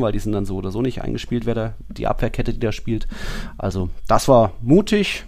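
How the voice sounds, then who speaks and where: A man's voice: mid-range voice, darkish tone. A man co-hosting a football podcast, giving casual analysis in a loose, rambling, colloquial way.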